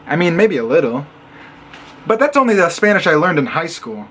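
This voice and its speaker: A young man from Illinois talks to the camera in a casual vlog. His voice sits high for a man. He tells his stories with animated sarcasm and acts out what other people said. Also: a little fast, a little hiss or hum. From Illinois, USA. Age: young adult. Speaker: college student vlogger.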